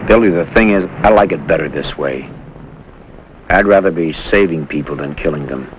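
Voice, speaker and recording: A man's voice: extremely low pitch, normal speed. An actor playing an earnest, reflective American Navy corpsman in a 1950s war drama on television. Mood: pensive, earnest. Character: earnest, reflective young male Navy corpsman before battle. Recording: muffled 1950s TV soundtrack, faint noise.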